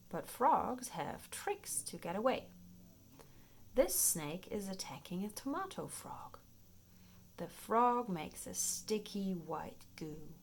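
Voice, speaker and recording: A woman reading a children's nature book aloud in an expressive, playful storytime manner, paced for young listeners. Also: medium pitch, slight background noise. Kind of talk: read-aloud children's nonfiction storytime narration.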